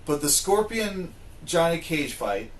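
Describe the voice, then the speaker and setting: Guy hosting a casual podcast chat, slightly high voice, pretty echoey room, says what he thinks. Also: sing-song, slow, slight background noise. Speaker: podcast host. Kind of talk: casual discussion of video game movies. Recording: roomy, echoing room sound.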